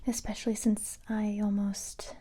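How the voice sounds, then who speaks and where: A woman whispering softly and breathily in an ASMR roleplay as a gentle but tough special-forces recruiter, speaking in a halting, reassuring way. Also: mid-range voice, little pitch movement.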